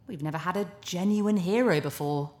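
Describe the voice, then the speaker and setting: An actress in a sci-fi audio drama playing a glib, cynical, charming TV propaganda presenter, breezy and persuasive. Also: female voice, lowish voice, normal speed, a little mellow, close to an everyday voice. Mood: breezy, confident. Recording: roomy, echoing sound.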